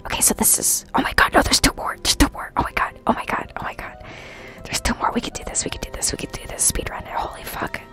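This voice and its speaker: A woman's voice: fast talker, pushed and bright. Whispering woman ASMR game streamer. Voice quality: breathy whisper. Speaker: live streamer. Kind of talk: whispered ASMR gaming stream, casual chat with viewers. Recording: music behind the whispering. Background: clear background music.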